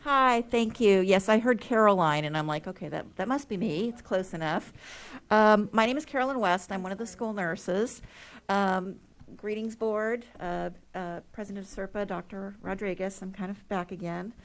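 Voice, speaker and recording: A woman's voice: mid-range voice, normal speed. Earnest middle-aged woman pleading. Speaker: school nurse giving public comment. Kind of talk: public comment at a school board meeting.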